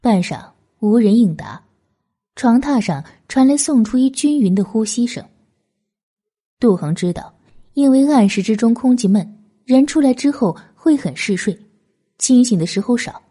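A woman's voice: a little high, lively intonation, moderate pace, warm tone, husky. A woman reading scripted novel narration as an audiobook narrator.

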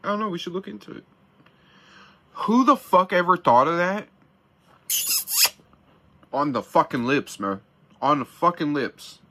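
Hyped, slangy man with a bright voice. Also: fairly high pitch, lively intonation, a little slow, long pauses. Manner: hyped, slangy, swears a lot. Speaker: product reviewer. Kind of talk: casual profane review vlog. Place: small camper van interior.

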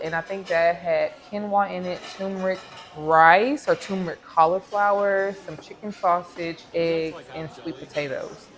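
A woman of thirty from the American South, chatting to camera about a trip in a relaxed vlog, recorded at home on a lapel mic. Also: sits low. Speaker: vlogger. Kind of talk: casual travel vlog. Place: small home room with plants.

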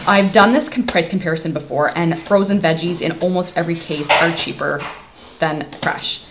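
Chatty low-voiced woman, noisy kitchen. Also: normal speed. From Canada. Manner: chatty, lots of "like" and "um" fillers. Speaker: vlogger. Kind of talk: casual grocery haul chat. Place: kitchen at home, indoors. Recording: clear background noise.

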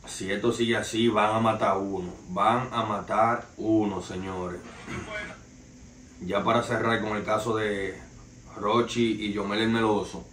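A man's voice: on the low side, level tone, unhurried, a bit bright. A young Dominican man with a Dominican Spanish accent, hosting a casual gossip podcast with loose, slangy storytelling and calling his listeners "señores". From Dominican Republic. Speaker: podcast host. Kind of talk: casual entertainment news commentary. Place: small living room, indoors, seated on a sofa. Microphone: far camera, no mic seen. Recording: roomy echo, distant mic, faint noise.

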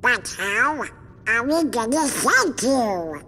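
An actor voicing an anxious little cartoon duck in a video game, with a squawky, worried way of talking. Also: male voice, extremely high pitch, very animated, takes it slowly, bright, forceful tone, in a large hall, a little hiss or hum, full character voice.

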